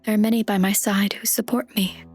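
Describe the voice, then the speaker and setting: Woman playing a soft, husky, subdued fantasy queen. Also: mid-range voice, steady tone, soft background music, lightly acted. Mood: quiet resolve. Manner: measured, subdued, solemn. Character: gentle, sorrowful idealist queen of an exiled people. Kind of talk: dramatic fantasy game dub acting.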